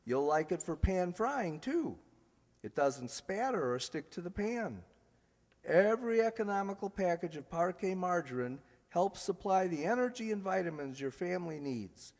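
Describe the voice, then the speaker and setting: High-pitched man announcing in an echoey hall. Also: sing-song, normal speed, a little mellow. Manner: jokey, ad-libbing. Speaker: announcer and host. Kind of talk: live old-time radio show announcing. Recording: echoey hall with background hiss.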